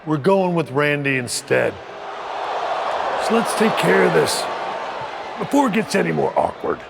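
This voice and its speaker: A gravelly, controlling faction boss. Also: male voice, on the high side, animated, crowd noise, noisy background. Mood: menacing. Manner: slow, measured, condescending. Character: domineering male wrestling faction leader, cold and controlling. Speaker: wrestling faction leader.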